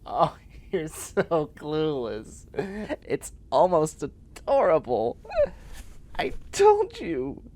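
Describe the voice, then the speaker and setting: Man acting a flustered, sardonic catboy, swooping pitch. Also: wildly swooping pitch, very slow, darkish tone, heavily acted. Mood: exasperated. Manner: stammering, exasperated, sarcastic. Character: flustered, sardonic young man sprouting cat ears and tail. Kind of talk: comedic sci-fi audio roleplay.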